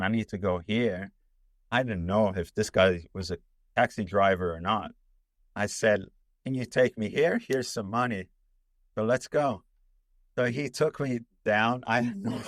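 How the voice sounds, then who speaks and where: A man's voice: on the low side, dry recording. A man from Italy whose first language is Italian, a documentary filmmaker telling a travel story in an interview, rambling with many fillers and restarts.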